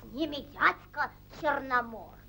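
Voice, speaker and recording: A woman's voice: high voice, unhurried, vocal fry, bright, forceful tone, a little hiss or hum, heavily acted. An actress playing a sly, scornful court woman in an old cartoon, reciting verse in an exaggerated singsong. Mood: boastful, dramatic. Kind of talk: theatrical fairy-tale verse recitation. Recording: old 1940s film soundtrack with music.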